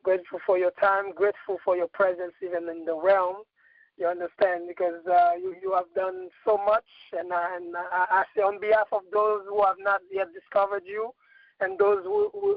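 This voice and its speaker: A man from Haiti, hosting a casual spiritual chat and speaking haltingly, with many fillers and repeated words. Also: high voice, big pitch swings, vocal fry, dry recording, narrow, tinny audio. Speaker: host.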